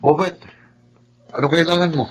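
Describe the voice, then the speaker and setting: A man's voice: on the high side, steady tone, normal speed, husky. A man acting as a wronged, peaceable young man in a Filipino radio drama, earnest and pleading, restrained but firm. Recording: loud music behind the dialogue.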